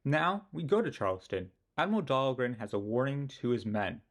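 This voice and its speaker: A man's voice: mid-range voice, very animated, fairly quick. Male history narrator, scripted delivery, opinionated, self-mocking asides.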